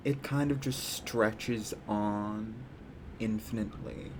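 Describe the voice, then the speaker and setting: Male game master, unhurried scene-setting with ums and pauses, noticeable background hiss. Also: on the low side, fairly even intonation. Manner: slow, thoughtful, pauses with ums while describing. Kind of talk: narrating a tabletop game.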